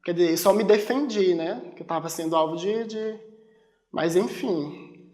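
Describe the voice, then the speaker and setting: A man's voice: high-pitched, normal speed, dark tone. A Brazilian man in his late twenties telling personal stories in a casual interview. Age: 20s. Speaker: documentary interviewee.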